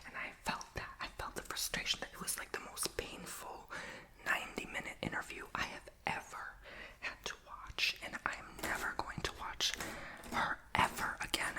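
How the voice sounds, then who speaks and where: A woman's voice: moderate pace, bright, forceful tone, low-quality recording. A young woman whispering as she chats while doing a puzzle, rambling, playful and blunt. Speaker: vlogger.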